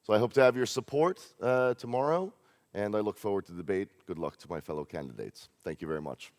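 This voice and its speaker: A man from Hungary giving an earnest campaign speech at a conference, pausing often with hesitations. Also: on the low side, animated. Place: large conference hall. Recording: reverberant conference hall.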